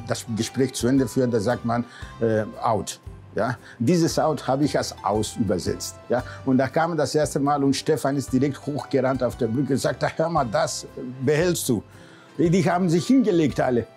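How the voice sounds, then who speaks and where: A man's voice: medium pitch, moderate pace, a little mellow. Man, 60s, Greek accent, non-native speaker. Ship's captain recalling his life in a casual interview, mid-range voice over music. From Greece. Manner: non-native German with loose grammar, often ending on a questioning "ja". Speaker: cruise ship captain. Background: background music.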